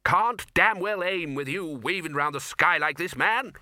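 A man's voice: high voice, huge pitch swings, moderate pace, sharp tone, no room sound, studio quality, pitched above the performer's own voice, exaggerated voice. A man voicing a domineering, impatient old wizard arch-chancellor with a blustering, demanding manner.

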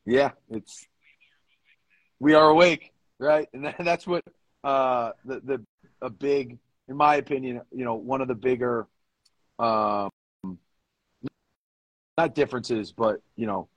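A man's voice: medium pitch, slow pace, pauses often, dry recording, rough recording. An American man co-hosting a podcast in casual, bantering, profanity-laced chat. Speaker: podcast host.

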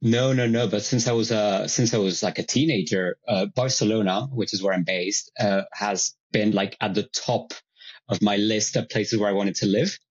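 A man in his thirties, a podcast guest, chatting casually and telling his story in a relaxed, wry, self-deprecating way over a video call. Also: lowish voice, moderate pace, slightly rough. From Italy. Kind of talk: casual conversation. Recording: dull lo-fi video call audio.

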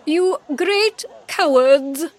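Woman voicing a regal, high-voiced dragonfly queen mother. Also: expressive. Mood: fierce. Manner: regal, urgent, measured. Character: fierce, steadying dragonfly queen, a protective mother. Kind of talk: children's storybook character voice.

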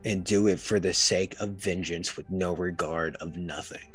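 Male podcast host, hoarse and husky, low-pitched, easygoing earnest delivery. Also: fairly even intonation, normal speed, faint music behind. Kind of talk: casual podcast chat.

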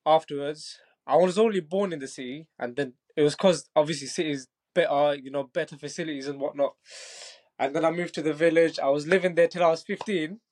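A young fighter in his twenties from Bangladesh speaks in a relaxed, chatty way, often checking in with his listener. His voice sits slightly higher than most men's. He sounds easygoing and confident. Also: a little fast. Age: 20s. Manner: laid-back, repeats "do you know what I mean", laughs easily. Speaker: Muay Thai fighter, guest. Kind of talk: casual interview chat.

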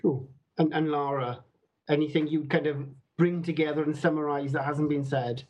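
A man's voice: fairly high pitch, steady tone, moderate pace, darkish tone, dull audio. Upbeat man hosting a podcast. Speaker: podcast host. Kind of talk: podcast interview.

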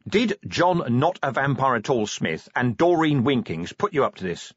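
A man's voice: medium pitch, sing-song, moderate pace, husky, no echo, muffled recording, pitched above the performer's own voice. A man voicing an irritable, world-weary police commander with a curt, sardonic way of talking, in an audiobook story.

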